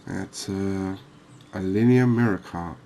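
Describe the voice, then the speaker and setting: A man giving unhurried, murmured ASMR commentary as he hosts a fishing game series. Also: low-pitched, warm tone, a little hiss or hum. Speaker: host of a gaming series.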